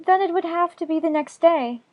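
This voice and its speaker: Man doing a high polite little girl. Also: brisk, highs cut off. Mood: earnest. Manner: high falsetto, polite and earnest. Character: polite curious young girl.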